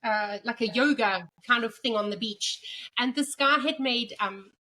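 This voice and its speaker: A woman's voice: on the high side, moderate pace, pushed and bright, low-quality recording. A woman co-hosting a podcast, asking the guest questions in a playful, chatty way. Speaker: podcast co-host. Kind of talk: casual interview chat.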